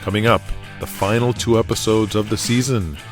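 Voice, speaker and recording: Brief: male narrator-host, somewhat low voice, scripted dramatic storytelling, guitar music bed. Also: steady tone, moderate pace.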